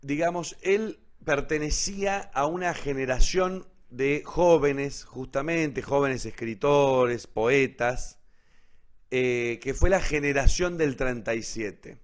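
A man's voice: mid-range voice, takes it slowly. A man with an Argentine accent presenting a radio theatre programme, explaining literature informally and didactically, repeating key points and checking in with short questions.

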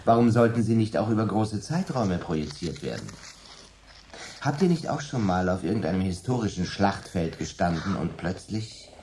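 An actor playing a middle-aged prankster dinner guest in a radio play, teasing and lowering to a menacing hush. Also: male voice, lively intonation, moderate pace, a little hiss or hum. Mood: musing.

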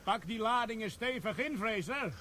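A man's voice: high voice, expressive, moderate pace, bright, forceful tone, a little hiss or hum. A man playing a dry, sarcastic army corporal in a radio drama, bossing his sergeant around. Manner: dry, mocking, bossy.

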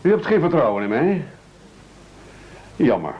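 Formal bossy castaway gent in an old radio play, husky, swoopy intonation, muffled lofi sound. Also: male voice, medium pitch, very animated. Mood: formal, businesslike. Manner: pompous, measured, over-polite. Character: formal, commanding castaway gentleman, coldly reasonable. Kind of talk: absurdist radio play acting. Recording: dull lo-fi old radio recording.

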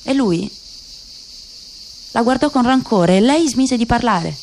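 A woman with a fairly high voice reads a literary story as radio theatre. She phrases with deliberation and leaves pauses between thoughts. There is faint noise behind her. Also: lively intonation, a little mellow. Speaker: actress narrating a literary radio play. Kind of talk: dramatic literary narration.

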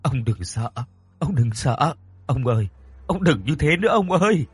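A man's voice: fairly high pitch, very animated, normal speed, husky, no echo, soft background music, heavily acted. A man voicing a frightened elderly mother in a dramatic story, tearful and pleading.

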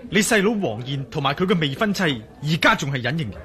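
A man's voice: a little high, big pitch swings, quick pace, sharp tone, a faint crowd. A man acting as a worldly, teasing adventurer in a radio drama, with a Hong Kong Cantonese accent and an emphatic, bantering delivery. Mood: urgent, anxious. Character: worldly, teasing adventurer, urgent when crossed.

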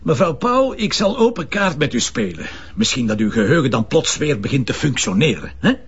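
An actor playing a blunt, sardonic and stubborn police commissioner with a Flemish accent in a crime drama. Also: male voice, mid-range voice, big pitch swings, fairly quick, breathy edge. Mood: confrontational. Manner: blunt, sarcastic, insistent. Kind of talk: broadcast crime drama acting. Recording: dull sound with background hum.

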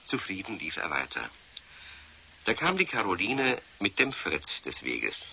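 A man playing the storyteller of a children's radio play, narrating in an animated scripted style. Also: low-pitched, measured pace, vocal fry, heavily acted. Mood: storytelling. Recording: faint hiss of 1970s radio recording.

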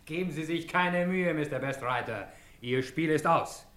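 An actor playing a cool, wry detective hero with a self-assured manner in a radio crime play. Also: male voice, on the high side, fairly bright tone.

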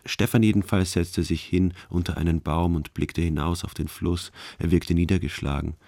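An actor playing a detached male first-person narrator in a radio play, telling his story in a deadpan, matter-of-fact way. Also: deep voice, normal speed, vocal fry, no room sound. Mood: detached, musing.

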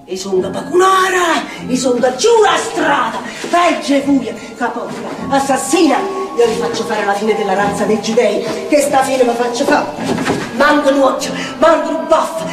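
An actress playing a volatile, bitter Neapolitan slum dweller in a theatrical dialect monologue, chanting repeated phrases and swinging between mutters and shouts. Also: female voice, high-pitched, moderate pace, close to an everyday voice. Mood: furious. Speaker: stage actress. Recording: roomy, distant stage sound with music.

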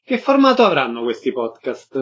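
A man's voice: mid-range voice, huge pitch swings, moderate pace, fairly bright tone. A man hosting a radio interview with short questions. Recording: slightly dull radio sound.

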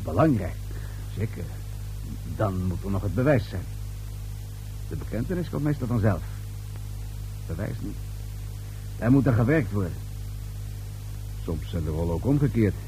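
An actor playing a dryly suggestive police inspector in a radio play, with measured, insinuating questioning. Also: male voice, fairly low pitch, expressive, mellow, soft background music, close to an everyday voice, poor audio. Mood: grave, pointed.

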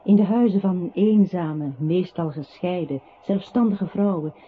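An actress playing a narrating voice in a literary radio play, observing a composer who withdraws into silence. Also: female voice, on the low side, measured pace, breathy edge. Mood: sombre. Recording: muffled, noisy old radio recording.

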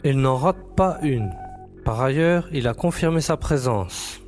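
An actor playing a matter-of-fact, dryly teasing agency colleague in an audio drama. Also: male voice, mid-range voice, expressive, a little slow, a little mellow, soft background music, natural voice. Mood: businesslike. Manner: composed, explanatory, dry.

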